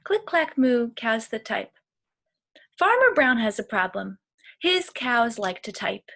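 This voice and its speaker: Bright, high-voiced woman reading to children. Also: slow. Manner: expressive, clearly addressing children. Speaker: elementary school teacher. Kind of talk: animated read-aloud for young children.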